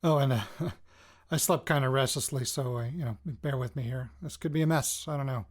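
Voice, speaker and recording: An actor playing a weary, jittery male radio reporter recording personal audio notes for a fiction podcast, in a halting, self-interrupting way. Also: medium pitch, natural voice. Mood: groggy, sheepish.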